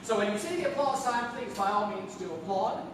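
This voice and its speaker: Male stage announcer, high-pitched, formal and theatrical, heard from afar in a very echoey theatre. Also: expressive, fairly quick, background noise. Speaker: announcer and host of live radio theatre. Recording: echoey theatre, distant, music behind.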